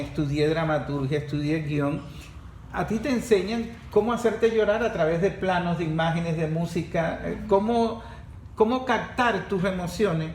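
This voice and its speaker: A man with a high-pitched voice speaks in a lively, animated way in a podcast discussion and jumps in with quick asides. The room is echoey and has a faint hiss under the voice.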